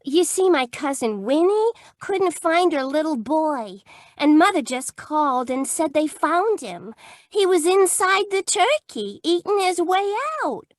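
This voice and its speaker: Old-time radio secretary: sweet, wide-eyed, adoring, swooping melodic lines, dry sound. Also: female voice, high-pitched, animated, a little slow, dry recording. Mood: innocent, chatty. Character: Effie, sweet, naive, adoring young female detective's secretary. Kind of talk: 1940s radio comedy-drama acting.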